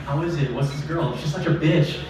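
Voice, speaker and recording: A man's voice: a little high, brisk. Echoey young man performing spoken-word poetry. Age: young adult. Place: small live open-mic venue stage. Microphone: stage microphone, captured from a distance. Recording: very echoey live room, distant, noisy.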